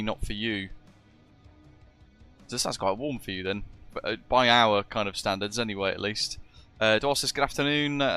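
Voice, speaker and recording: A British man streaming as a virtual ground controller, chatting casually with viewers and speaking radio calls, his voice a bit husky and chesty from a lingering virus. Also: mid-range voice, fairly bright tone. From Britain. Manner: chatty, greets viewers as matey. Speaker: streamer acting as virtual air traffic controller. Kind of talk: live stream chat and radio phraseology.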